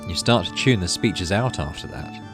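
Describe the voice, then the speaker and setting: Expressive man playing and narrating a game. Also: low-pitched, normal speed, music in the background. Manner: narrates story text, murmurs to self while deciding. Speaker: gaming channel host. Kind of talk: casual let's play commentary, reading game text aloud.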